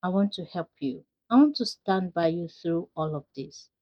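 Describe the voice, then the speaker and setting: A gentle, devoted young man in love pleads with worry in a storybook folktale, as a woman narrator acts him out. The sound is a little dull and lo-fi. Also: on the low side, expressive, normal speed. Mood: gentle, worried.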